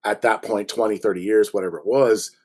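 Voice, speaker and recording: A man's voice: on the low side, steady tone, fairly quick, darkish tone. A man chatting casually and enthusiastically as an interview guest, repeating words for emphasis. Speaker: audio drama writer, podcast guest.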